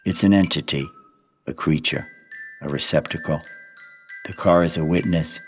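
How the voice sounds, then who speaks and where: A man narrating a poetic radio play in a solemn, deliberate manner. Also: rumbling low, slightly rough. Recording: long reverberant hall, mallet music behind.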